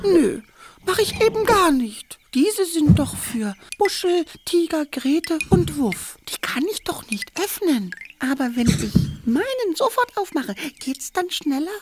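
A woman voicing an eager, impatient, childlike little boy king in a children's cartoon, with expressive exclamations. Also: very high-pitched, unhurried, noisy background, heavily acted. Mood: puzzled, disappointed. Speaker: main character of children's cartoon. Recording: music under the voice.

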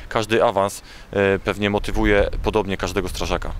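Low-voiced man, halting and formal. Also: level tone. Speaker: fire brigade press officer. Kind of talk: on-camera interview answers. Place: outdoors, fire station yard. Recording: faint outdoor ambient noise.

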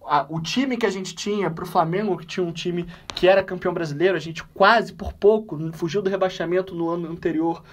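A man in his twenties hosting a football fan channel, giving voice-over commentary in an emphatic way, stretching key phrases slowly. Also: sits high, a little hiss or hum. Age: 20s. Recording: voice somewhat distant from the mic.

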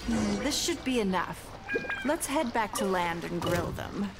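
A woman voicing a poised, shrewd, wealthy businesswoman in a video game, speaking in a measured, genteel, lightly teasing way. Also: medium pitch, expressive, close to an everyday voice. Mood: composed, pleased. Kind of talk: video game dialogue acting. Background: background music.

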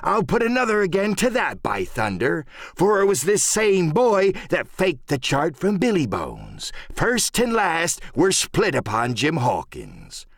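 A man voicing a cunning, menacing old pirate sea cook in a storybook reading, with a blustering, deliberate rustic seafaring dialect. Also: on the high side, wildly swooping pitch, no room sound, clean studio sound, pitched above the performer's own voice, heavily acted. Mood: accusing.

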